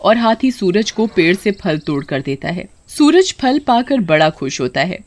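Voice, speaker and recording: Casting: storyteller for scripted kids' tales, very animated intonation, dramatic delivery. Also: medium pitch, unhurried, a little hiss or hum. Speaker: narrator. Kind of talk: scripted children's story narration in Hindi.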